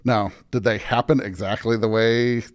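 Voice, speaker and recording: A man's voice: on the low side, steady tone, normal speed. An American man, a pastor being interviewed, talking casually and thoughtfully as he searches for words. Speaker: pastor, podcast guest.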